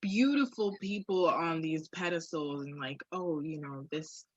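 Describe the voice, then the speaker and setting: Woman podcaster, husky mid-range voice, reflective and blunt, profane, casual talk, lo-fi sound. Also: low-pitched, a little slow, no echo. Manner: frank, uses profanity, reflective. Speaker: podcast co-host. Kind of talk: candid casual conversation. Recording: dull, muffled lo-fi audio.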